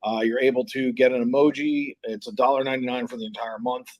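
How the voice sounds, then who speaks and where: A man's voice: mid-range voice, steady tone, fast talker, low-quality recording. A man hosting a live hockey stream, greeting viewers and chatting informally in a scattered, casual way. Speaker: live stream host calling hockey play by play.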